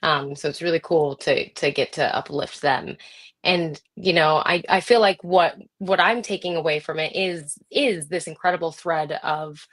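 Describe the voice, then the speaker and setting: A woman with a slightly lower-pitched voice hosts a conversational interview show. She speaks informally and affirms her guest with open appreciation. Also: moderate pace. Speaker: podcast host. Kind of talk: casual conversational interview hosting.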